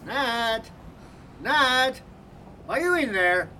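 A boisterous young woman student in a radio play, calling out to a friend. Her voice is bright and fairly high, and her words are drawn out over some background noise. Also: animated, extremely slow, full character voice, rough recording. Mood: teasing, boisterous. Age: young adult. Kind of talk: radio drama acting.

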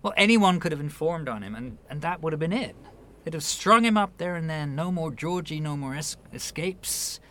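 A man's voice: fairly high pitch, expressive, normal speed, few pauses, bright, forceful tone. An actor in a radio play voicing an earnest, patient man who speaks hesitantly, with small stammers. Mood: earnest, explaining. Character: earnest, patient man gently persuading a younger relative. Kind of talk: naturalistic radio play acting.

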